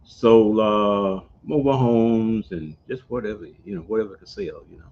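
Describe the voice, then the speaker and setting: A man, a veteran guest speaking in a slow, halting, plain-spoken way. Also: on the low side. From Montgomery, Alabama. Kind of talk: casual interview. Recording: dull lo-fi recording with faint noise.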